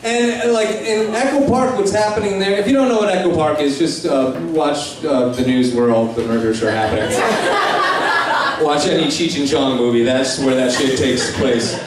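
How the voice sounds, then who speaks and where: A man performing live stand-up comedy in a bar, telling a story in a casual, halting, sweary way. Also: fairly high pitch. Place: live on stage in a bar and grill. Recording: echoey bar room, distant mic, faint noise.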